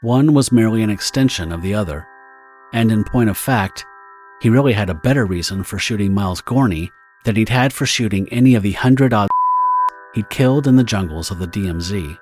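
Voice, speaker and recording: Low-voiced male dramatic narrator. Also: brisk, music in the background. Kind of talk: scripted dramatic story narration.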